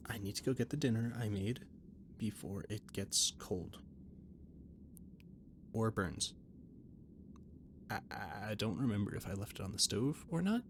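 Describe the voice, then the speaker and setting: A man's voice: lowish voice, lively intonation, a little slow, long pauses. A man playing a flustered, rambling catboy who cooks aboard a spaceship, hesitant and stammering, in an intimate roleplay audio drama. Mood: flustered, evasive. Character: flustered, rambling catboy ship's cook and mechanic, eager friend. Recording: noticeable background noise and ambience.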